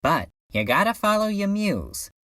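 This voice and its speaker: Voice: mid-range voice, very animated, a little slow, pushed and bright, no room sound, clean studio sound. A woman voicing a laid-back cartoon cat creature in a comedic dub, with a casual, slangy manner. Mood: casual, playful.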